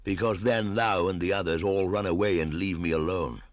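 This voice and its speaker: A man's voice: deep voice, fairly even intonation, moderate pace, no room sound, clean studio sound, pitched above the performer's own voice, close to an everyday voice. A man voicing a proud, impassioned teenage boy raised by wolves, emphatic and indignant, in an audiobook. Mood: angry, sulky. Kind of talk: audiobook character acting.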